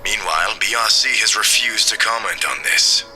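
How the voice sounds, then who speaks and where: A man's voice: on the low side, normal speed, bright, forceful tone, soft background music, low-quality recording. A man reading a visual novel aloud, voicing a formal television news anchor delivering a report.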